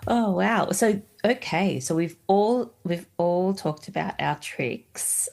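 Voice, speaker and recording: Co-host, woman, low-pitched with vocal fry, easygoing interviewer who laughs a lot. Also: expressive, slow, darkish tone. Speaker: podcast co-host. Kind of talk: casual chat.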